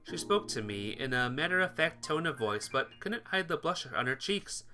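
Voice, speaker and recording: Bright, expressive man reading a story. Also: on the high side, normal speed, faint music behind. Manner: expressive reading, voicing the hero's lines. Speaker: let's-play narrator. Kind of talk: reading a visual novel aloud.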